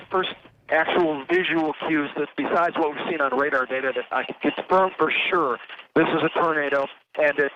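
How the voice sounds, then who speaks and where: Over a muffled, clearly noisy phone link, a man reports live from an aircraft. His voice is fairly high, and he describes what he sees as an eyewitness meteorologist. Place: aboard an aircraft in flight.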